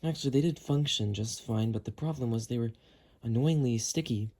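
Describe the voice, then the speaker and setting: A man's voice: mid-range voice, a little fast, warm tone. A man giving a casual how-to narration, explaining each step as he works with his hands. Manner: explanatory, talking while working with hands. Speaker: host of a how-to cleaning video.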